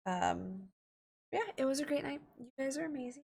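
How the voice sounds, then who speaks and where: An American woman chats through a gaming livestream in a creaky voice. Her pitch is lively and moves around a lot. She talks informally, swears freely and drifts off topic easily. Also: normal speed. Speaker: live streamer. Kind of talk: casual gaming livestream chat.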